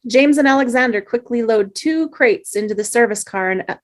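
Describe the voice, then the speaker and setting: A woman reading a screenplay's stage directions aloud at a table read, steady with small slips. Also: fairly high pitch, a little fast.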